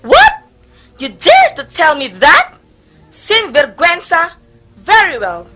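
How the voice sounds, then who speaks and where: A woman playing a haughty, self-willed princess in a stage play, speaking in an imperious, commanding, drawn-out way. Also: very high voice, wildly swooping pitch, bright, forceful tone, exaggerated voice. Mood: indignant. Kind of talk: amateur stage play acting.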